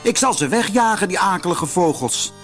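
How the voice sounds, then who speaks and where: Man voicing an earnest little storybook boy, higher-pitched. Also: lively intonation, normal speed, fairly bright tone, soft background music. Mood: eager, cross. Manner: concerned, eager to help. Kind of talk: storybook character voice.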